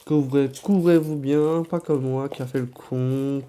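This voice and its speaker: Male vlogger with a cold: unhurried, deep-toned, dark and soft delivery, mumbles asides mid-task. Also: clear, resonant tone. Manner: relaxed, drops to muttered asides while handling cards. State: has a head cold and sore throat. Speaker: card-opening vlogger. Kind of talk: casual chat while opening card packs.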